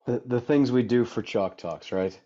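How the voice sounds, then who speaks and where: A man presenting a technical webinar, hoarse and tired, talking energetically and casually with many fillers. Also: medium pitch, normal speed, mellow, dull audio. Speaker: product development director presenting a webinar.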